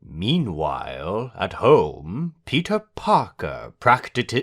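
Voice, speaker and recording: A man narrating a comic book script in a deliberate, theatrical way. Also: low-pitched, huge pitch swings, a bit bright.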